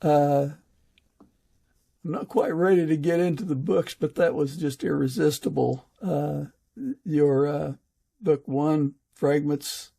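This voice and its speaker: A man with a slightly high, creaky voice and a husky edge. He works as a podcast interviewer, conversational and halting, thinking aloud as he goes. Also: steady tone, dark tone.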